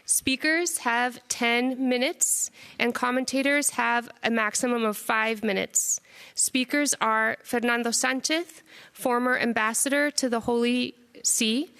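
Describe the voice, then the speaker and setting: Woman moderator with a smooth, gravelly voice. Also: a little high, fairly bright tone. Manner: brisk formal announcing of names and titles. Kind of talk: reading speaker introductions.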